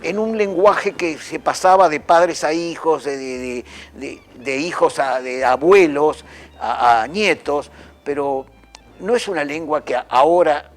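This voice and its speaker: A man's voice: a little high, measured pace. A man giving an earnest interview answer, repeating phrases for emphasis.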